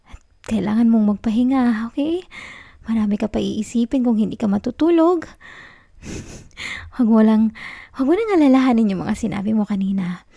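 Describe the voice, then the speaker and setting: Soft, clingy Filipino girlfriend, acted by a woman, dark-toned. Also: a little high, moderate pace, creaky, long pauses, no echo, studio quality. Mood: soft, possessive. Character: clingy, possessive young student girlfriend in denial. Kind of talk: ASMR girlfriend roleplay, intimate acted monologue.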